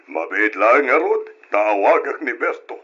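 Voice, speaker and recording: Old easygoing father, radio drama, bright husky voice, slow, lo-fi. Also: medium pitch, sing-song, heavily acted. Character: older father and husband, easygoing, practical.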